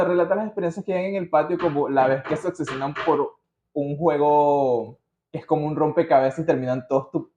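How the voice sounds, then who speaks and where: A man's voice: on the high side, mellow, slight background noise. A man with a Venezuelan accent hosting a podcast, telling things casually from his notes in a slangy, digressive way. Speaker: podcast host. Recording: muffled, roomy podcast recording.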